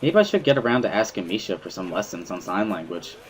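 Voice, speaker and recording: A man's voice: lowish voice, big pitch swings, fast, slight background noise. A man reading a visual novel as first-person dramatic narration, in an earnest, brooding storytelling manner. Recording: music behind the voice.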